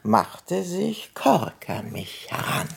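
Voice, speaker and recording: An actor playing a vain, smug man boasting in a German radio play, florid and pompous, with a gravelly voice. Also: high voice, sing-song, slow, slight background noise, heavily acted. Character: vain, smug male storyteller boasting of his conquests.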